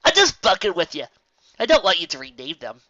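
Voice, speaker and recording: A woman's voice: on the low side, wildly swooping pitch, a little fast, fairly bright tone, pitched above the performer's own voice. A woman voicing a brash, cocky female pegasus with a sarcastic, teasing manner. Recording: dull lo-fi recording.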